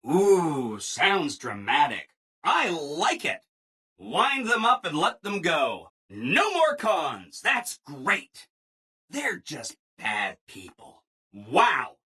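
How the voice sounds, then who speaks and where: Game robot assistant: ingratiating, relentlessly upbeat, lively swooping pitch, slightly husky edge. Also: male voice, drawn-out words, heavily acted. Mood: eager, delighted. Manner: chipper, ingratiating, over-enthusiastic, singsong. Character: relentlessly cheerful, eager-to-please robot assistant. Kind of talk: video game character dialogue.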